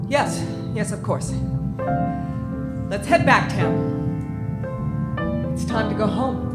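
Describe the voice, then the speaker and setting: An actress playing an obsessed, grieving, proud submarine captain with an intense commanding manner in a live radio drama. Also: female voice, mid-range voice, lively intonation, slow pace. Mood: broken, subdued. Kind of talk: live audio drama acting. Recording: echoey live hall with loud music.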